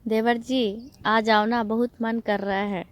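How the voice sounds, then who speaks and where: A slightly high-pitched woman acting as an unhappy married sister-in-law in a phone-call drama. She is coy and flirtatious, chatting casually in short turns. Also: flat delivery, slow pace, dry recording. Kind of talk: staged phone-call role-play, casual chat.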